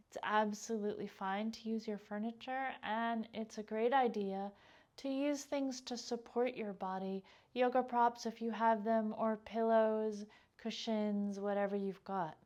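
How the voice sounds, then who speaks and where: A woman leading a guided meditation as a yoga teacher, speaking gently and without hurry, her phrases trailing off softly. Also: fairly high pitch.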